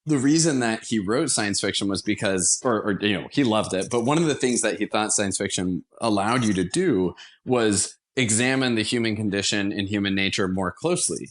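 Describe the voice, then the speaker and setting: A man's voice: on the low side, moderate pace, few pauses. A man talking casually and enthusiastically as an interview guest, with emphatic turns of phrase. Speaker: podcast guest, theater founder.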